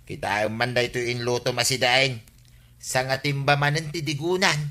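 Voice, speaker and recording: A man's voice: mid-range voice, steady tone, normal speed, sharp tone, natural voice. A man playing a whining, grumbling husband with an Ilocano accent in a radio comedy-drama. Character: whining, grumbling husband picky about his food.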